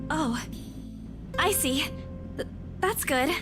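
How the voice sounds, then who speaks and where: A woman's voice: high-pitched, animated, extremely slow, over music, heavily acted. An actress playing an anxious, dutiful young woman in a visual novel game. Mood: caring, cheerful front.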